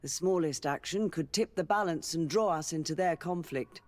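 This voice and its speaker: An actress playing a learned, diplomatic noblewoman governor in a video game, speaking in a measured, formal way. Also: low-pitched, lightly acted. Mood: grave, cautious. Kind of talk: scripted video game dialogue.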